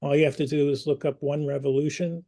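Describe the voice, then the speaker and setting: A man hosting an online community meeting, speaking earnestly and personally, pausing with fillers and restarts. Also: on the high side, little pitch movement, dark tone. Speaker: community group host.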